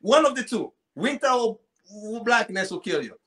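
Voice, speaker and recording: High-pitched Central African man telling stories. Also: very animated, moderate pace, bright, forceful tone. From Congo. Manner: repeats phrases, acts out dialogue. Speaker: podcast guest, poet. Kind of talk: animated personal storytelling in casual chat. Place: small indoor room at home, video call.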